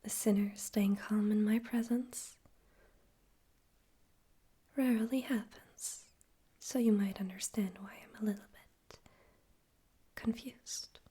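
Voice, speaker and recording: A woman voicing a smug, politely menacing male radio demon overlord in a soft, breathy, theatrical whisper for an ASMR roleplay. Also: medium pitch, steady tone. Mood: taunting, curious. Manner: slow, teasing, theatrical, condescending.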